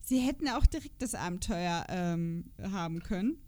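A woman's voice: fairly low pitch, animated, normal speed, darkish tone. A woman podcast host chatting casually in a talkative, rambling way. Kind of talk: casual chat.

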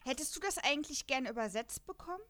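A woman from the Lower Rhine in Germany talks in a fairly high voice. She is a podcast co-host in casual conversation, chatty and rambling, with a self-deprecating way of putting things. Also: animated, fast talker, pushed and bright. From Lower Rhine region, Germany. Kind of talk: casual chat about an audio drama.

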